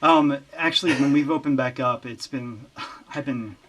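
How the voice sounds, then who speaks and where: A man who works as a recording engineer, chatting as an interview guest in an easygoing, halting way. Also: medium pitch, a bit bright, a little hiss or hum. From Lehigh Valley, Pennsylvania. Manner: easygoing, halting, with many fillers and restarts. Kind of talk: casual interview chat.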